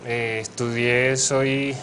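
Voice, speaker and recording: A young law professor with a Colombian Caribbean coast accent speaks in a measured, explanatory way and often fills his pauses. His voice sits at a middle pitch. Faint ambient noise hangs behind him. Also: little pitch movement. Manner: measured, with frequent hesitations and fillers. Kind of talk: academic interview explanation.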